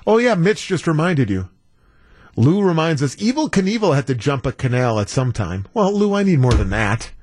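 A man's voice: a little high, very animated, moderate pace, darkish tone, no room sound, clean studio sound. An American man hosting a talk radio show with casual, playful and wry banter. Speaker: guest radio host.

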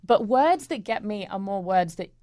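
A young actress with an English-Australian accent talks easily as an interview guest, filling her answers with ums and little laughs. Her voice sits at a middle pitch. The room sounds dry and close. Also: expressive, normal speed, mellow, dry recording. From England, raised in Australia. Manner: chatty, laughs often, many ums. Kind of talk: casual interview.

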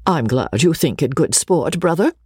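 A woman's voice: low-pitched, animated, normal speed, darkish tone, husky, dry recording, clean studio sound, voice pushed up, exaggerated voice. Woman playing a haughty old lady, swooping intonation. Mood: indignant. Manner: imperious, indignant.

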